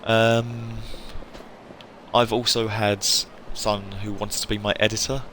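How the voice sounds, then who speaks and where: A man's voice: lowish voice, flat delivery, measured pace, fairly bright tone, slight background noise. A British man chatting casually to viewers on a live stream, rambling and hesitant, often trailing off. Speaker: hobby game streamer. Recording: music playing behind the voice. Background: music.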